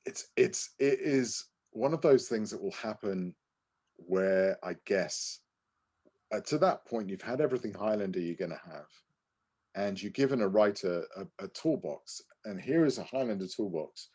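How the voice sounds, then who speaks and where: A man co-hosting a podcast, talking casually in an animated, digressive way as he reviews things. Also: lowish voice, moderate pace, slightly rough. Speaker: podcast co-host.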